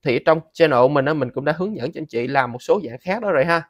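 A man in his thirties with a Southern Vietnamese accent hosts a screen tutorial. His voice sits a little high and is recorded dry. He explains step by step in a casual, conversational way, often addressing viewers. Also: animated, quick pace, dry recording. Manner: conversational, frequent sentence-ending particles addressing viewers. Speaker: tutorial host.

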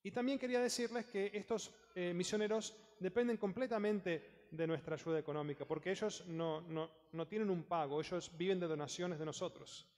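Male priest, fairly high voice, informal church appeal, long church reverb. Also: moderate pace, hardly any pauses, darkish tone. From Argentina. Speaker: visiting priest. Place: large church, at the lectern. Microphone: headset microphone.

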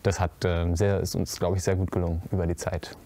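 A young man, an actor in a musical theatre piece, answers interview questions haltingly with many fillers and restarts, seated on a cinema stage. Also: low-pitched, level tone, dark tone. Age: young adult. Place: cinema auditorium stage before a curtain.

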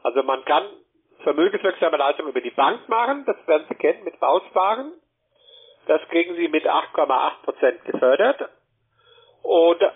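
A man giving a wordy telephone sales pitch, often adding a short checking question. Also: husky. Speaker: telemarketer. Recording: muffled narrowband phone line.

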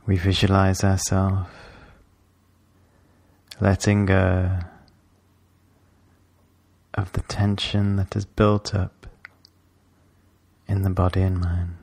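A man leading a guided meditation in a calm, measured, instructional way, with long pauses between phrases. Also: sits low, vocal fry, no echo.

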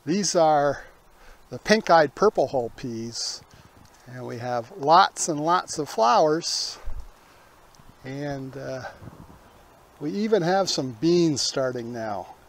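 An older man in his sixties with a slightly high-set voice. He talks casually as the host of a garden video, in a folksy, unhurried manner, recorded outdoors in a backyard. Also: expressive. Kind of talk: casual garden tour talk.

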